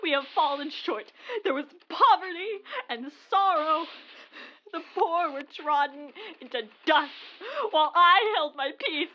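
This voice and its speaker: A woman's voice: high voice, measured pace. A woman playing an unhinged, pious curate in an audio drama, declaiming fervently about sin and doom. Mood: anguished penitence. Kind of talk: audio drama acting, fervent preaching and prayer. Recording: dull audio with faint noise.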